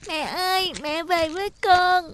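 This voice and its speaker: A woman voicing a tearful little boy who longs for someone, in an animated fairy tale. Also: very high-pitched, unhurried, noisy background. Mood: tearful, pleading. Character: tearful little boy longing for his mother. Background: prominent music.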